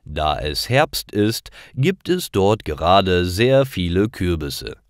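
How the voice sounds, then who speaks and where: A man narrating short scripted stories for language learners, with careful, deliberate enunciation. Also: low-pitched, sing-song, no echo, clean studio sound.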